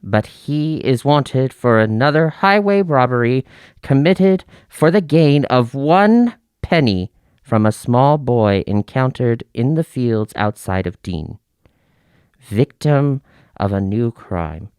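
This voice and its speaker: An actor playing a stern prosecutor in an audio drama, measured and declamatory as he accuses. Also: medium pitch, very animated, darkish tone, clear, resonant tone, no echo, studio quality. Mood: stern, accusing. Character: accusing, rhetorical male prosecutor addressing a jury.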